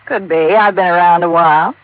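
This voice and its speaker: A woman's voice: on the low side, steady tone, brisk, no room sound, lightly acted. An American woman playing a guarded, streetwise nightclub singer in a 1950s radio drama. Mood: cool, evasive. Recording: tinny, dry old radio recording.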